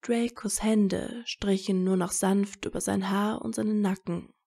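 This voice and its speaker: A woman reading scripted romantic fiction as a narrator in soft, measured storytelling. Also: mid-range voice, fairly even intonation, gravelly, breathy edge.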